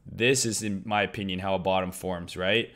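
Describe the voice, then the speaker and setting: A young man with a hoarse voice, talking loudly and casually on a crypto trading live stream, swearing freely. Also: deep voice, moderate pace. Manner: loud, excitable, swears casually.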